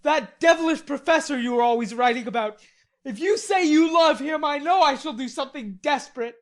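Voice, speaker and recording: A woman's voice: high voice, measured pace, pitched above the performer's own voice. Een vrouw die speelt een hartstochtelijke jonge man. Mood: vehement. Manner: pleading, vehement outbursts. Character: passionate heartbroken young man, hot-tempered.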